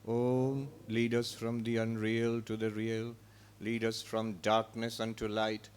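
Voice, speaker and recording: A monk gives a spiritual lecture, his voice smooth and resonant. He talks slowly and evenly, with little rise and fall, in a calm, gentle way from a retreat hall. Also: on the low side. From India. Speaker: monk and teacher of Vedanta.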